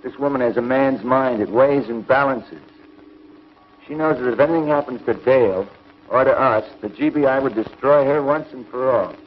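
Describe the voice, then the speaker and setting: A stern, loyal young American space hero acted by a man. His mid-pitched voice is firm and straightforward, heard on a dull 1950s television soundtrack. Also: normal speed, natural voice. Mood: matter-of-fact. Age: young adult. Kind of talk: 1950s television serial acting.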